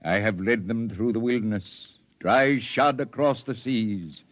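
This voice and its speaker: A man's voice: on the low side, a little slow, close to an everyday voice. A man playing a dying old prophet. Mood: solemn. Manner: solemn, biblical preaching cadence. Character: dying old male prophet leading Martians, wild-bearded. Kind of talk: 1950s radio drama acting.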